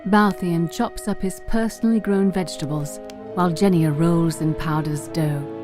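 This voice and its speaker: A woman's voice: fairly low pitch, moderate pace, music in the background. A woman narrator reading scripted story narration for a fantasy audio drama.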